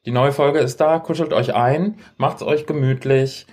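A man's voice: medium pitch, fairly even intonation, unhurried, darkish tone. A man co-hosting a podcast, bantering casually in a teasing, playfully ironic way. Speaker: podcast co-host. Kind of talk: casual banter between friends.

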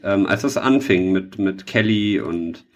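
A man's voice: low-pitched, measured pace. A man chatting casually as a podcast co-host, often searching for words and rambling a little.

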